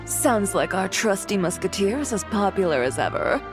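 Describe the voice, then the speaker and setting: A woman's voice: medium pitch, big pitch swings, normal speed, close to an everyday voice. A woman plays a sophisticated game character with a mid-range voice. She is poised, dry and teasing, with faint music behind her. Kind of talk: video game dialogue.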